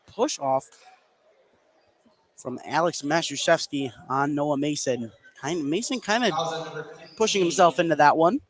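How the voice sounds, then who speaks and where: Higher-voiced man calling live basketball. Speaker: play-by-play announcer. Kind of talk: live basketball radio commentary. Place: indoor basketball gym. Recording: noisy gym ambience behind.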